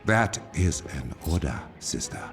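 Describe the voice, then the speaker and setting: A man's voice: very deep voice, big pitch swings, measured pace, gravelly, soft background music, full character voice. An actor playing a proud, stern warrior king with an African accent, formal and commanding, in a dramatic video game. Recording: loud game score behind.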